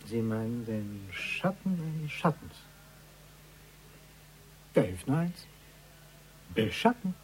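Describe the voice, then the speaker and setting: Courtly, animated male sidekick. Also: medium pitch, takes it slowly, long pauses, slight background noise. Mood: wry. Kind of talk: radio crime drama acting.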